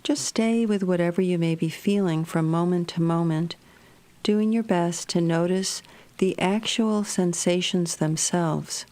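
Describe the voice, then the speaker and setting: A woman narrating a guided meditation in a gentle, measured, soothing manner. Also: on the low side.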